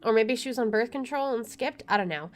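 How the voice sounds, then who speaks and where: A woman's voice: on the high side, animated, a little fast. A woman doing a let's play, reading story text aloud and adding wry, chatty commentary. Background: game music.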